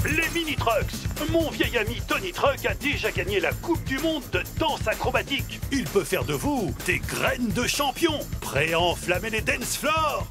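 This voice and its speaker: A woman's voice: on the high side, moderate pace, few pauses. Casting: woman as old grandfather truck for kids' cartoon, kindly and rousing, swooping intonation, strong music underneath. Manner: animated, encouraging. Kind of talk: children's cartoon acting. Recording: loud music behind the voice.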